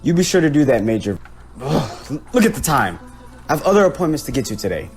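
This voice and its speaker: A man playing a stingy, condescending landowner with a husky voice in an audio drama, brusque and dismissive. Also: medium pitch, big pitch swings, brisk, a little mellow, soft background music, natural voice. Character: haughty, callous male landowner, stingy and dismissive.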